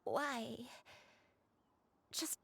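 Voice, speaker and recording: A woman playing a frail, gentle old grandmother in a video game, speaking slowly and wistfully with a soft, husky, sorrowful voice. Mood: tender, tearful. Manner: slow, halting, tender, wistful.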